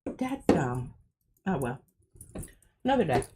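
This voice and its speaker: Woman craft host, congested, animated delivery, rambling and self-mocking. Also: low voice, takes it slowly, very noisy. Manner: chatty, self-deprecating, talks while working. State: stuffy nose. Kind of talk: casual art demonstration. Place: home art studio.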